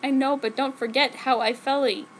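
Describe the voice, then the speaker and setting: Woman playing a high-voiced young male werewolf. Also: fairly even intonation, moderate pace, lightly acted, poor audio. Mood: earnest. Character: muscular young male werewolf, lovesick friend. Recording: noticeable background noise.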